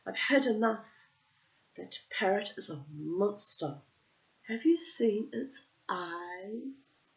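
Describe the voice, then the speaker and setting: A woman's voice: mid-range voice, lively intonation, slow pace, vocal fry, lo-fi sound, pitched above the performer's own voice. A woman voicing a distraught young wife who is packing to leave, speaking in a fed-up, tearful way. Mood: upset. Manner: sobbing, fed up.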